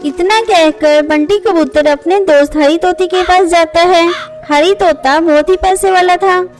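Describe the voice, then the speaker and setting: A woman's voice: very high-pitched, a little slow, soft background music. A woman narrating a scripted children's moral story as its storyteller.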